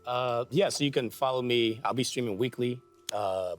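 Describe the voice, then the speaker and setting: A man co-hosting a live show speaks in a relaxed, easygoing way. His voice sits in an ordinary middle pitch. He plugs his channels casually. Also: moderate pace, faint music behind. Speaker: co-host. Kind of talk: casual chat.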